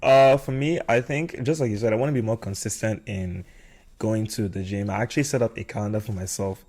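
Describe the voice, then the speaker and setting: A man's voice: fairly low pitch, a little fast, a little mellow. A young adult man hosting a podcast in a casual conversational style. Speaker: podcast host.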